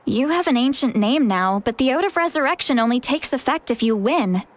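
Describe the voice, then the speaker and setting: Lively high-voiced ancient yet youthful heroine. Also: female voice, brisk, heavy background noise. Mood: worried, sincere. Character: ancient but youthful-sounding, dramatic, cheeky woman elder. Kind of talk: video game voice acting.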